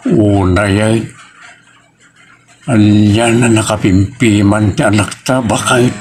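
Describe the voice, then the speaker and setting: A man's voice: on the low side, steady tone, slow, darkish tone. A man with a Filipino accent playing a concerned, consoling older father and husband in a family audio drama. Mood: worried. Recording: music under the voice.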